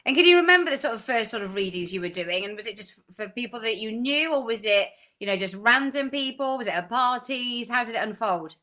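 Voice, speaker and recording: Chatty woman podcast host, bright voice. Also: on the high side, lively intonation, a little fast, hardly any pauses. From South Wales. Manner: upbeat, chatty, laughs easily. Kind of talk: podcast interview.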